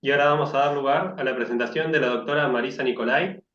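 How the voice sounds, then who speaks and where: A man's voice: mid-range voice, fast, a bit bright. Rioplatense man moderating, echoey dull audio. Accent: Rioplatense Spanish. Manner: courteous, reads introductions. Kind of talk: introducing speakers.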